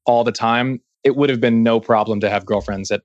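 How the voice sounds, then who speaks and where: A man's voice: mid-range voice, steady tone, brisk, no room sound, dull audio. A man hosting a music interview podcast, chatty and joking, often restarting his sentences. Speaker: podcast host. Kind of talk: casual interview chat.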